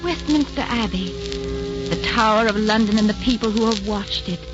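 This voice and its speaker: A woman's voice: mid-range voice, normal speed, natural voice. An actress playing a devoted, self-sacrificing lady lover in an old-time radio romance, speaking in a solemn, declamatory way. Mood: noble, impassioned. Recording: muffled old radio broadcast, music behind.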